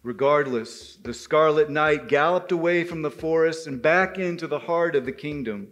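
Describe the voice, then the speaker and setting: A man's voice: a little high, moderate pace, fairly bright tone. A man telling a folktale live, emphatic and speaking directly to his listeners. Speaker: storyteller at a men's gathering.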